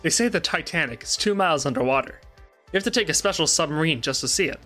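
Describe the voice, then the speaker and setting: A man's voice: a little high, lively intonation, fast, bright, forceful tone, faint music behind. A man playing an obsessed, distressed interview subject in a dramatic reading, agitated and repetitive, with swearing. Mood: frantic dread.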